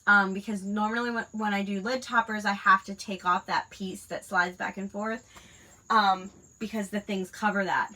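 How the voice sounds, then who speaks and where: Crafting host, woman in her 30s, stuffy nose from a cold, crisp bright tone, chatty and rambling. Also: mid-range voice, fairly even intonation, normal speed, rough recording. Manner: rambling, many fillers. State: congested from a cold. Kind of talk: casual chat while crafting.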